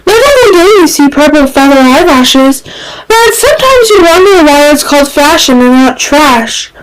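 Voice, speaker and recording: A woman's voice: high voice, expressive, moderate pace, background noise, pitched above the performer's own voice. A woman voicing a perky, flamboyant young man who chatters with gushing drama in a fan fiction reading. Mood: gushing, chatty. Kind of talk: fan fiction character acting.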